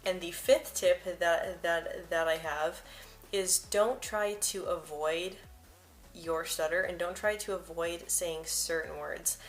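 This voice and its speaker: Woman who stutters, lowish voice. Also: fairly even intonation, slow pace. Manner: stutters, repeating words and first sounds. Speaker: vlogger. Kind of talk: talking to camera, giving personal tips.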